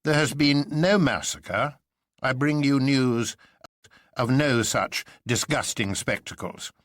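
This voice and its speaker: A man's voice: mid-range voice, slow, fairly bright tone, dry recording, voice pushed up. A man voicing a stern, dignified council secretary in a radio story, stiff, formal and indignant. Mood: stern dignity. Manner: stiff, formal, savage outbursts.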